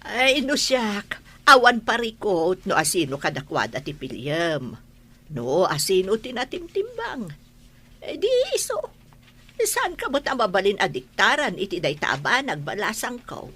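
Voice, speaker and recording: A woman's voice: mid-range voice, a little slow, husky, exaggerated voice. A woman playing a caring, nosy middle-aged aunt in a radio drama, with an Ilocano accent and an exclamatory way of talking. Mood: advising. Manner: animated, exclamatory.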